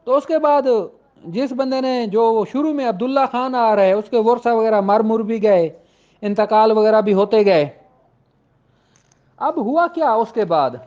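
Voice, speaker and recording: High-pitched man lecturing, dull audio. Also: expressive, a little slow, a little mellow. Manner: emphatic, repeats points for clarity. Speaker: law lecturer. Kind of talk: informal explanatory law lecture.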